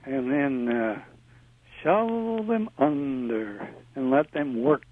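An older man on a call-in radio show, speaking at an ordinary mid pitch. He talks haltingly, with plenty of uhs and restarts. His voice comes through a narrow, tinny phone line. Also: animated, no room sound. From Indiana. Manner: halting, with many fillers. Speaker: caller.

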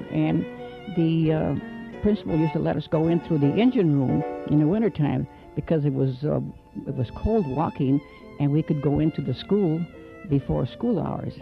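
A man's voice: on the high side, gravelly. A man recalling his school days in an oral history interview, rambling with fillers and self-corrections. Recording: dull lo-fi recording with music underneath.

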